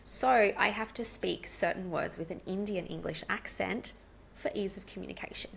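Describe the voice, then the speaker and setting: Fast-talking Australian woman, gravelly. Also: medium pitch, slight background noise. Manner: fast and animated. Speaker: English coach, podcast guest. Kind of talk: casual interview chat.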